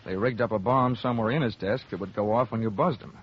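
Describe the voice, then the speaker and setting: An actor playing a dry, wisecracking insurance investigator in an old-time radio detective show, on a muffled, noisy recording. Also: male voice, on the low side, fast, husky. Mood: brisk, wry. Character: wisecracking hard-boiled male insurance investigator, brisk and dry.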